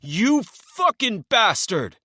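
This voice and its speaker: A man's voice: low-pitched, measured pace, fairly bright tone, no echo. A man voicing an enraged male biker who is protective of his sister. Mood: furious. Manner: raging, snarling. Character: furious male biker, protective older brother.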